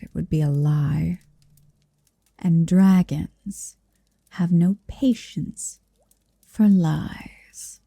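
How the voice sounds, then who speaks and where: A woman playing a possessive, motherly dragon in an ASMR roleplay, soft and breathy, teasing and dominant, close on the microphone. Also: fairly low pitch, no echo, heavily acted. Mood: teasing menace. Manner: deliberate, teasing, dominant, lingering on phrases. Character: possessive motherly female dragon, tender, proud, quietly menacing. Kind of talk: ASMR roleplay, intimate slow murmuring and whispering. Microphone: close microphone, dry.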